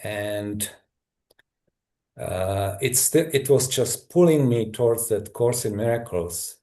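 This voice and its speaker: A middle-aged man from Czechoslovakia with a Czech accent, a guest telling his story in a halting, thoughtful way with many restarts. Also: on the low side, expressive, dark tone. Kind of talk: personal story in a conversation.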